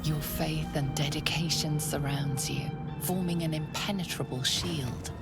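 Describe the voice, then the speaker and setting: An ominous female storyteller in a fantasy game. Her voice is low and gravelly, and she narrates slowly and with suspense over loud music. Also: steady tone. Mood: solemn. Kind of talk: scripted second-person game narration.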